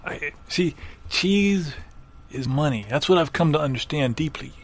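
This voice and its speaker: Man playing hesitant, creaky-voiced would-be cheese bandit. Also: fairly high pitch, expressive. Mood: earnest, musing. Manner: hesitant, halting, rambling. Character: earnest, bumbling would-be cheese bandit named Johnny Cheese. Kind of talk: improvised audio comedy sketch.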